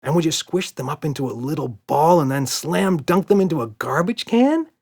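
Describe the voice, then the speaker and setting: A man does an excitable little girl in a children's storybook. The voice is pushed up high and jumps around. She squeals and pleads with great eagerness. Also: moderate pace, creaky, husky, heavily acted. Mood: excited. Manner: squealing, wheedling.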